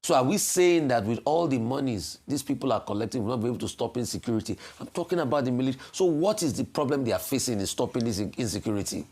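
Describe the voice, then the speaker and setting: A Nigerian man giving a security commentary on television, forceful and full of rhetorical questions. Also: mid-range voice, expressive, fast, few pauses.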